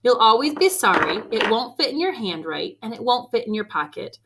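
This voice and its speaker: A woman reading a picture book aloud to children expressively, with deliberate emphasis on key phrases. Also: a little high, very animated. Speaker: read-aloud storyteller for children. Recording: faint background noise.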